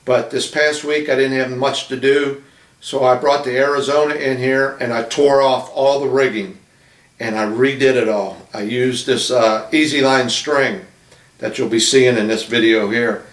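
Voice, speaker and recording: A man's voice: mid-range voice, steady tone, normal speed. Hobbyist man talking to camera in his apartment, loose and rambling, heard from a distance. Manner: rambling, many fillers and restarts. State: losing his voice, coughing. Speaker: model ship builder. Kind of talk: casual talk to camera. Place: small apartment room. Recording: echoey room from off-mic.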